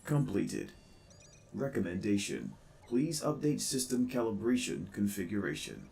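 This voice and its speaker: A man voicing the onboard computer of a giant robot, giving flat, clipped system announcements. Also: on the low side, measured pace, gravelly, faint music behind, pitched below the performer's own voice, exaggerated voice. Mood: mechanical. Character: calm male robot mech computer voice. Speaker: game reader.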